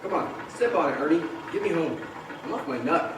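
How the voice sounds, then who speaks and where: A man's voice: a little high, lively intonation, fairly quick, close to an everyday voice. A man acting a frantic, bewildered family man in a stage radio play, agitated and pleading. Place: live stage in a large echoing hall. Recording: echoey hall from far off, noisy.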